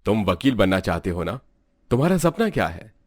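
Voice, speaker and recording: A man's voice: on the low side, sing-song, brisk, no echo, studio quality. A man playing a kindly, encouraging school principal with reassuring authority in a storybook drama. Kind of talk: storybook audio drama acting.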